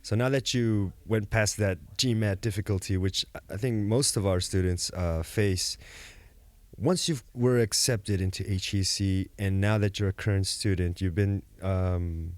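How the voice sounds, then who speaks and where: Male podcast host, low voice, upbeat, chatty, casual interviewer. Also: measured pace, dry recording. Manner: upbeat and chatty, loose phrasing with fillers. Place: business school campus during a conference.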